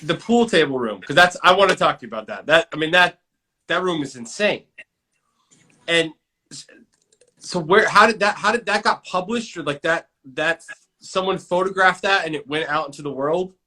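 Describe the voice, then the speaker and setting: A man's voice: a little high, normal speed, sharp tone. A man from Kansas interviewing an artist, asking curious, eager questions in a casual chat.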